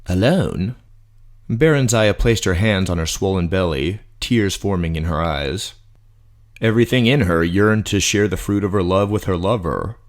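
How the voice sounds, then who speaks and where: A man's voice: low-pitched, normal speed. A man narrating a fantasy audiobook from a script in a dramatic storytelling style.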